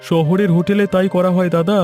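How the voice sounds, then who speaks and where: A man voicing a calm, commanding district police officer in a cartoon folk tale, assured and authoritative. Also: sits high, fairly quick, soft background music, lightly acted. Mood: assertive. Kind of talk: animated folk tale voice acting.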